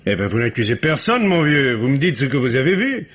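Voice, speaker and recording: An actor playing an insistent investigator in a 1950s radio drama, questioning a witness in a coaxing way. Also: male voice, mid-range voice, big pitch swings, fairly quick, fairly bright tone, breathy edge. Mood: probing. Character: probing male investigator Monsieur Bourret, pressing a witness. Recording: old radio recording with faint hiss.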